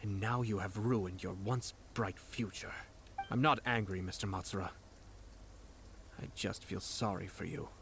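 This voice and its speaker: A man voicing a calm young noble commissioner in an anime-style game, measured and eloquent, politely condescending. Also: fairly low pitch, gravelly, noisy background. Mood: cold pity.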